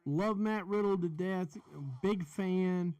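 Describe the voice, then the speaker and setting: Man, Southern accent, high voice, dry close sound, rambling livestream host. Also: takes it slowly, a little mellow, clean tone, no echo. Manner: chatty, rambling, talks straight to viewers. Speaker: livestream watch-along host. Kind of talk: casual live commentary and chat.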